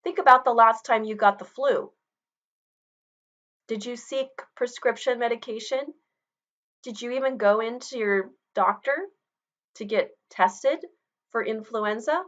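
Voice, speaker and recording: Middle-aged woman teacher, relaxed explanatory lecture voice, slightly muffled webcam sound. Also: a little high, a little slow, long pauses. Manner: conversational, explanatory, personal asides. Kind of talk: recorded online lecture. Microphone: webcam video recording.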